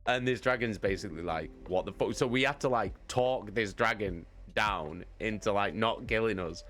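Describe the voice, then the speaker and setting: A man's voice: lowish voice, moderate pace, a bit bright. A man on a live stream animatedly telling a tabletop game story, swearing often and laughing mid-sentence. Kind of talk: casual anecdote on a live stream.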